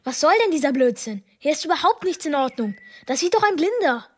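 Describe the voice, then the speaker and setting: An actress playing an excitable, indignant female character who scolds in a radio drama. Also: high-pitched, a little fast. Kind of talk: German radio drama acting.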